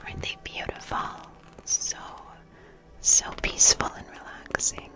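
A young woman whispering softly and breathily in an ASMR personal-attention roleplay as an art teacher, soothing and gentle, close to the microphone. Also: measured pace, music in the background, low-quality recording. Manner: soft, soothing, gentle, repeating phrases. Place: small bright plant-filled room indoors. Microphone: close studio microphone with fluffy cover.

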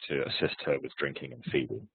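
A man with a hoarse voice from hay fever, presenting research and answering questions on a webinar, with many hesitant restarts. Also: very deep voice, expressive, quick pace, dry recording. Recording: tinny phone-like webinar audio.

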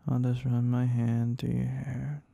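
A man playing a tender, sleepy husband in an intimate ASMR roleplay, murmuring soft, breathy, soothing words and hums close to the mic. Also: medium pitch, completely flat delivery, measured pace, no echo, muffled recording. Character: tender, sleepy husband comforting his wife in bed. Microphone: very close, dry microphone.

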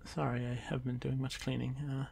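Male actor as timid young drider for fantasy ASMR: very creaky, soft, halting delivery, lonely and apologetic, audible noise behind. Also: mid-range voice, completely flat delivery, lightly acted. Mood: nervous, apologetic. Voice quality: gravelly, creaky. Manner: hesitant, stammering, soft-spoken, often dropping to whisper. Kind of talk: ASMR fantasy roleplay addressed to the listener.

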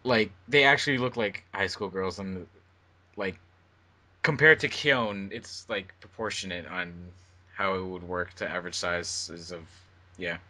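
A man's voice: sits low, measured pace, bright, forceful tone. A man chatting casually as a co-commentator on a commentary track. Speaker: fandub member and co-commentator.

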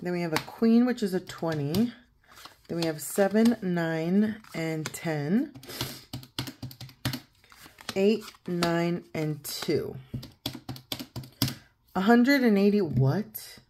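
Woman, 30s, lowish croaky morning voice, chatty, counts aloud while filming at a home desk; faint noise under the voice. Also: animated, slow, long pauses. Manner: chatty, counts out loud, laughs easily. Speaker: savings challenge vlogger. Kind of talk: casual chat while counting cash.

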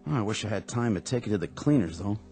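An actor playing a down-on-his-luck former pitcher in a radio drama, humble and eager. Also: expressive, fast, a little mellow. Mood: plain. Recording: radio play with music underneath.

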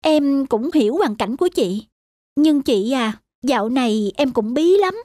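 A woman's voice: sits high, animated, unhurried, mellow, dry recording, faint music behind. A woman voicing a young mother in a cartoon, speaking in a polite, apologetic way. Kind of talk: animated cartoon voice acting.

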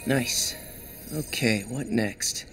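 An actor playing an eager young American superhero and lab assistant in a video game, speaking casually and earnestly. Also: male voice, mid-range voice, drawn-out words, a bit bright, soft background music. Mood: focused. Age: young adult.

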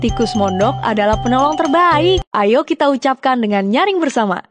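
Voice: sits high, lively intonation, moderate pace. An actor voicing a cute, cheerful young panda who guides toddlers with encouraging, simple phrases in an educational cartoon. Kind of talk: children's educational cartoon presenting. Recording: music behind the voice.

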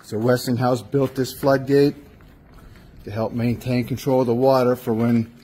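A man's voice: medium pitch, flat delivery, moderate pace, warm tone, a little hiss or hum. A man narrating casually while walking through an abandoned industrial site, plain-spoken and correcting himself as he goes. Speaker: urbex vlogger. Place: outdoors and in a large abandoned industrial building.

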